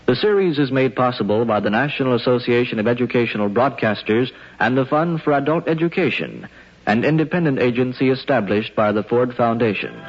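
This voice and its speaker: A man reading scripted credits as a formal, measured 1950s radio announcer. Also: medium pitch, expressive. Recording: dull 1950s radio recording, music behind. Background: music.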